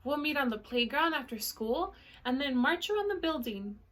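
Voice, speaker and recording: A woman's voice: high-pitched, lively intonation, normal speed, fairly bright tone. A woman reading the lines of a friendly storybook schoolteacher announcing something to her class in an instructive way.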